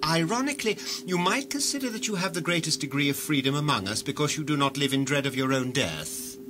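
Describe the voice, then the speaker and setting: An actor playing an imperious head of a world-ruling cartel in a sci-fi audio drama, grandiose and commanding like an orator. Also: male voice, on the high side, expressive, fairly quick, close to an everyday voice. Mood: lofty.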